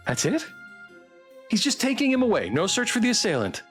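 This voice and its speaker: Anxious, well-bred young gentlewoman, played by a man, high and emphatic, swooping delivery, for a gothic game. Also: wildly swooping pitch, fairly quick, soft background music. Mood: panicked. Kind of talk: character acting in visual novel readthrough.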